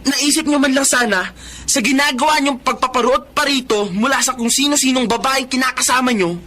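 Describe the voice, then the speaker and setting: Bright, intense young man in radio drama. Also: very high-pitched, a little fast. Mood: hurt, confrontational. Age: young adult. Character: dutiful, resentful grown son, aspiring policeman. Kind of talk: Tagalog radio drama acting.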